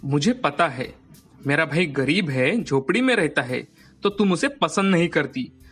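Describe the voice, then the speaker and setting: A man's voice: fairly high pitch, animated, measured pace, fairly bright tone, slightly rough, faint music behind, exaggerated voice. An actor playing a mild, resigned husband and father in a moral story, earnest and patient.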